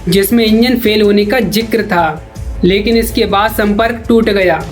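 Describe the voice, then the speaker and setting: A woman's voice: medium pitch, fairly even intonation, normal speed. A woman delivers scripted documentary-style news narration. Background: background music.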